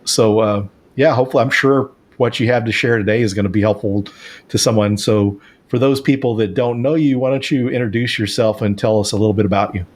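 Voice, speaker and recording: A man's voice: fairly low pitch, steady tone, fairly quick, darkish tone. A man hosting a podcast in a conversational interview style. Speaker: podcast host.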